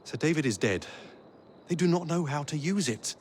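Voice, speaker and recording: An actor voicing a stern older man mentor with a measured, authoritative way of speaking. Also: on the high side, animated, slight background noise, lightly acted.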